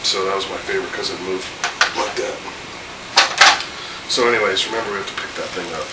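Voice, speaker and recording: A man's voice: low voice, fairly even intonation, fairly quick, far from the mic. Man, 30s, head cold, loose chatty host, echoey room, mic set back, AC hum. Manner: chatty, rambling with fillers. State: has a cold, voice a little off. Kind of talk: casual home video chat.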